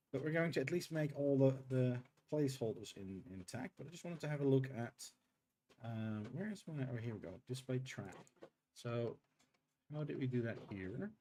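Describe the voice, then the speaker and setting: A middle-aged man with a tired throat, streaming live game development and talking through his code in halting, unhurried steps. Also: medium pitch, darkish tone. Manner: halting, thinks aloud with many pauses and um's. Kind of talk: live coding stream, thinking aloud.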